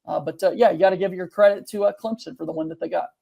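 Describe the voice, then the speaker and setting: A man's voice: high-pitched, steady tone, rapid-fire, gravelly, dark tone. A man on a sports podcast, recapping a game in a casual way with frequent fillers. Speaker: podcast co-host.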